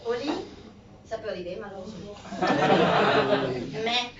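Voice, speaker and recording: A woman from Italy doing a stand-up set in French with an Italian accent, animated and teasing the crowd, mixing in Italian words. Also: medium pitch, unhurried. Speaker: stand-up comedian. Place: comedy club. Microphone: handheld stage mic. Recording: roomy, distant, dull club recording with audience.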